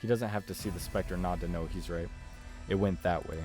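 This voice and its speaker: A man's voice: deep voice, steady tone, brisk. A man reading a visual novel aloud as narration, as a game streamer does. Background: background music.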